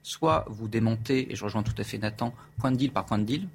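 A man giving measured analysis as a debate guest, hesitating often as he lays out options. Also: fairly low pitch, creaky, slightly rough. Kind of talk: studio debate.

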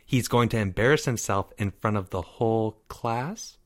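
A man's voice: fairly low pitch, normal speed, lightly acted. A man voicing a sly, scornful schoolgirl in a storybook, cool and mocking. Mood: snappish.

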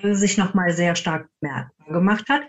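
A woman's voice: lowish voice, normal speed, lo-fi sound. A woman interviewed as a ghost hunter, telling anecdotes conversationally and acting out the quoted dialogue. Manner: acts out quoted dialogue while recounting.

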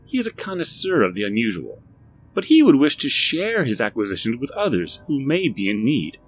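A man voicing an eerie, overly formal little male stranger who speaks slowly and precisely. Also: medium pitch, wildly swooping pitch, pitched above the performer's own voice, poor audio. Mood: measured.

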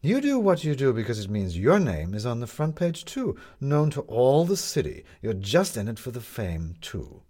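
A man's voice: medium pitch, wildly swooping pitch, moderate pace, hardly any pauses, mellow, voice pushed up. A man voicing a bitter, proud, talkative male con artist in an audiobook, with emphatic, rhetorical delivery.

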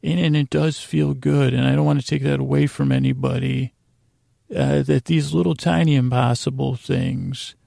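Guy doing a sleepy podcast ramble, super creaky soft mellow voice, lulling and halting, really dry close sound. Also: mid-range voice, flat delivery, dry recording. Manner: meandering, halting with odd pauses and repeated words. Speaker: podcast host. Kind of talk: rambling, soothing bedtime monologue.

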